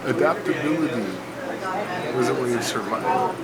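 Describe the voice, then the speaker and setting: A man's voice: medium pitch, expressive, moderate pace. A man speaking playfully in an interview, laughing and swearing casually. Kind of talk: animated personal interview. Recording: loud crowd noise behind.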